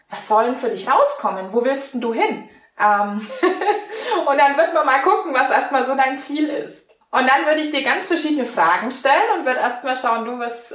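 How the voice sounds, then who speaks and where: A woman in her forties from Franconia with a Franconian accent, a mental coach chatting in an interview, laughing often and filling pauses. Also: a little high, echoey room. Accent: Franconian German. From Franconia, Germany. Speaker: mental coach and riding technique trainer. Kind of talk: casual interview answers.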